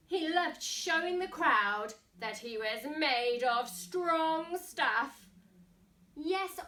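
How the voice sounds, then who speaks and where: A woman's voice: sits high, bright, forceful tone, distant mic. A woman reading a picture book to young children theatrically, with asides and questions to her listeners. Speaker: storybook reader. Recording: echoey room.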